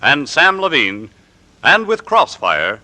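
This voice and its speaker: A man announcing in theatrical 1940s radio style, with dramatic, deliberate emphasis and suspenseful pauses. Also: on the high side, wildly swooping pitch, pushed and bright. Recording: vintage 1940s radio recording with music.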